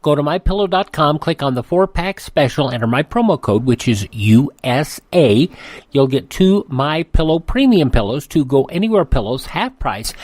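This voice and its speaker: A man's voice: on the high side, darkish tone, no echo. An American man hosting radio, reading promos and introductions in a casual, folksy, conversational style. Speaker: radio host. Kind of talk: casual host-read advertisement and programme introduction.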